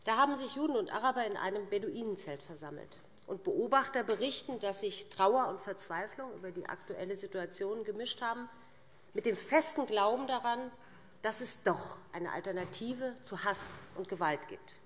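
A middle-aged woman giving a formal, prepared political speech with deliberate, emphatic phrasing, speaking at a lectern microphone as a parliamentarian. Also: mid-range voice, lively intonation. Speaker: parliamentary speaker for a party group. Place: large indoor parliament chamber. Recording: reverberant large hall.

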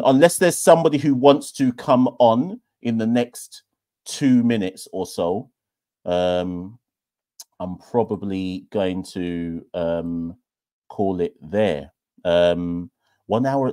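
A man's voice: fairly low pitch, lively intonation, unhurried, dark tone. A man hosting a live call-in podcast from a small room, talking enthusiastically into a webcam. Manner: enthusiastic, emphatic. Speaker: podcast host. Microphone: webcam at desk.

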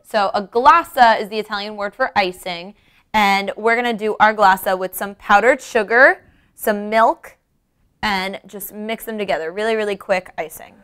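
A woman's voice: medium pitch, normal speed, fairly bright tone, clear, resonant tone. A young woman presenting a cooking lesson in a conversational way, explaining each step directly to the viewer. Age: young adult. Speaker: cooking show host. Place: home-style kitchen, indoors.

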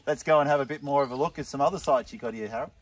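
A man's voice: medium pitch, racing. A man in his thirties with an Australian accent, hosting an upbeat outdoor vlog in a chatty, enthusiastic way. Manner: chatty, enthusiastic, uses "mate". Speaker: vlog host. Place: outdoors at a riverside bush camp. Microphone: camera mic from a few metres away. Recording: distant mic, faint outdoor noise.

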